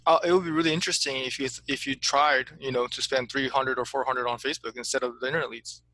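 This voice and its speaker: A man's voice: mid-range voice, steady tone, fast, pushed and bright, a little hiss or hum, low-quality recording. A man coaching an insurance agent in a casual consulting chat, eager and full of restarts. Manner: eager, repeats words when starting, says "to be honest with you".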